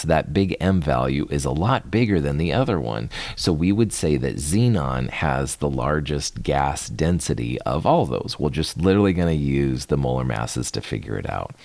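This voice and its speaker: A man teaching a recorded chemistry lecture in a relaxed, conversational way, chuckling often as he explains. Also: very deep voice, dry recording. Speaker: chemistry instructor.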